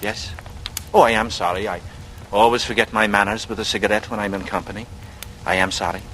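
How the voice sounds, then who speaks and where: A man's voice: lowish voice, fairly even intonation, fairly quick, a bit bright, close to an everyday voice. An actor playing a cocky young man in a radio drama, glib and breezy with mock politeness. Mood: mock-polite. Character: cocky, smooth-talking young working-class man, slyly charming. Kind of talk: 1940s radio acting. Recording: noisy vintage radio recording.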